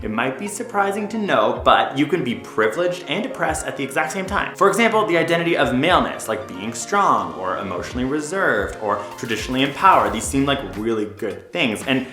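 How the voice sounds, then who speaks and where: A presenter giving a scripted explainer narration in a clear, measured way. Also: mid-range voice, sing-song, sharp tone, roomy sound, soft background music.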